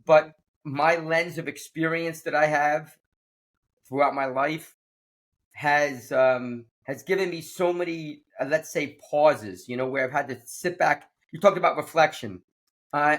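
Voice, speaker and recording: A man hosting a casual leadership conversation, upbeat and quick to laugh, often restarting his sentences. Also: a little high, fairly bright tone. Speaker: podcast host.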